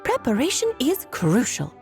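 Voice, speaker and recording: A woman's voice: high-pitched, huge pitch swings, normal speed, a little mellow, faint music behind, full character voice. A woman playing a playful, scheming, self-assured mage aunt in a video game, airy and teasing in a theatrical way. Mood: breezy, explaining.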